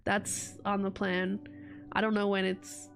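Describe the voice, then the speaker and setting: A woman's voice: medium pitch, monotone, measured pace, gravelly, soft background music. A young woman streaming casual chat while getting over a cold, her voice stuffy and congested, rambling and laughing often. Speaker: VTuber streamer.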